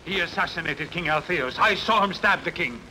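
An actor dubbing an agitated accuser in a sword-and-sandal film, urgent and melodramatic. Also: male voice, fairly high pitch, expressive, moderate pace, noisy background, close to an everyday voice. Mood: urgent, accusing. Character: agitated accuser crying for the hero's arrest.

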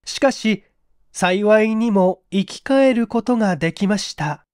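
A woman voicing an earnest, urgent male secret agent, polite and measured, in a dramatic audiobook reading. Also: mid-range voice, expressive, a little mellow, dry recording, studio quality, lightly acted. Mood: grave, explaining.

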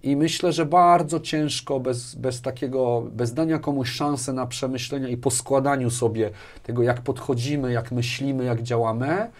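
A man's voice: mid-range voice, steady tone, normal speed, darkish tone. A man chats casually as an interview guest, often repeating words and tagging phrases with a checking question. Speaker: podcast guest, technology executive. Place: small furnished studio with padded wall panels, indoors. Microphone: lapel microphone.

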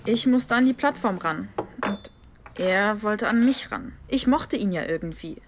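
A defiant young woman who answers bluntly and defensively. Her voice sits at a middle pitch for a woman. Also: lively intonation, measured pace, crowd noise. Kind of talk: audio drama acting.

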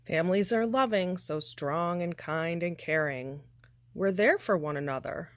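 A woman reading a children's picture book aloud with deliberate, expressive, measured phrasing. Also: lowish voice. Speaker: storybook reader.

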